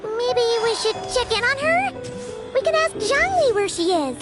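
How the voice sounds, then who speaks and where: A woman's voice: very high voice, sing-song, moderate pace, loud music behind the voice. A woman voicing a small, chirpy, childlike fairy companion in a video game, curious, cheeky and exclamatory. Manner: speaks of herself in third person, exclamatory. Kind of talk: video game character voice acting.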